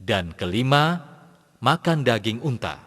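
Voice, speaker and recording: A man's voice: medium pitch, very animated, slow pace, fairly bright tone, full character voice. A man playing a patient Islamic teacher in an educational cartoon, explaining step by step in speech. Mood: patient, instructive. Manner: methodical, instructive, enunciated step by step. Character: patient male Islamic teacher instructing young pupils. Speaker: religious teacher. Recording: long hall-like reverb.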